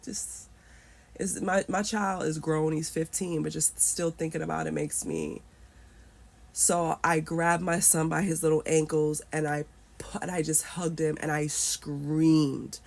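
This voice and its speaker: A woman in her thirties telling a personal story candidly, swearing freely. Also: sits low. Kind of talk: personal story told to camera.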